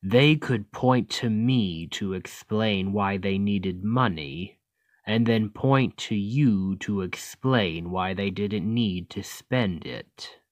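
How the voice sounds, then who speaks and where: Demon king villain for ASMR roleplay, male actor: low voice, very unhurried delivery, condescending, taunting, persuasive. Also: dry recording. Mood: cynical, explanatory. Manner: slow, deliberate, condescending, taunting. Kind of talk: ASMR roleplay, scripted acting addressed to listener.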